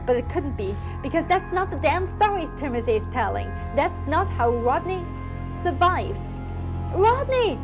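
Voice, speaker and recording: A woman with a fairly high voice narrates a scripted story. Her delivery is theatrical and full of suspense, set over background music.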